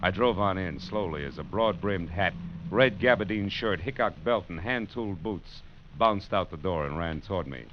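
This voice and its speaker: A man's voice: deep voice, animated, normal speed, noisy background. An American actor playing a world-weary, wisecracking male private detective in a hard-boiled, sardonic old radio drama style. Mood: wry, world-weary. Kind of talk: 1940s-50s American radio detective drama acting and narration. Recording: dull vintage radio recording, loud music behind.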